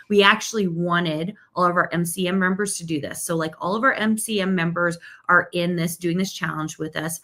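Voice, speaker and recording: A woman's voice: fairly low pitch, a bit bright. A middle-aged woman, a marketing coach, teaching live in an upbeat, chatty way, checking in with "okay?" and "right?", and saying she is losing her voice.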